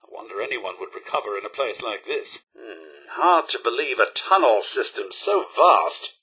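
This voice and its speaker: An actor playing a prim, loyal robot butler in a video game, with a British accent and a formal, theatrical, fussily polite manner. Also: male voice, mid-range voice, lively intonation, moderate pace, bright, forceful tone, husky, low-quality recording. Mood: dismayed. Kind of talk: scripted video game companion dialogue.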